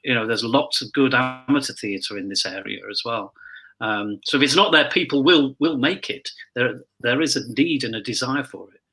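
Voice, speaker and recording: Male panel guest, forceful bright tone with a rasp, mid pitch, conversational. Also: moderate pace, poor audio. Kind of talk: casual discussion.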